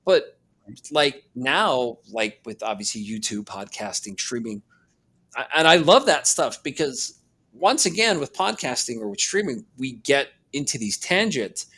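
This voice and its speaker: Podcast co-host, male, from Hawaii, mid-pitched, reflective and meandering. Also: big pitch swings, unhurried, pushed and bright, slightly rough. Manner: reflective, meandering, filler-heavy. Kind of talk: casual chat.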